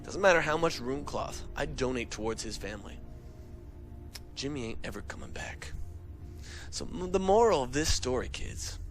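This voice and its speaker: A man's voice: medium pitch, animated, normal speed, long pauses, soft background music. A man telling a comic gaming anecdote in a casual, profane, dramatic and exasperated way. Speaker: comic storyteller on a gaming talk show.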